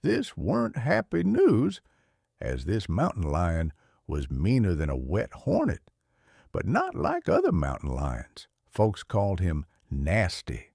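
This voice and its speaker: Animated, gravelly Southern storytelling man. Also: sits low, slow pace, no echo, clean studio sound. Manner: folksy, animated. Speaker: children's storyteller. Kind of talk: expressive read-aloud storybook narration.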